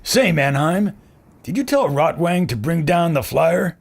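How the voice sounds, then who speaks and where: An actor playing a brash, wisecracking adventurer filmmaker with raspy, slangy tough-guy talk. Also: on the high side, animated, a little slow, exaggerated voice.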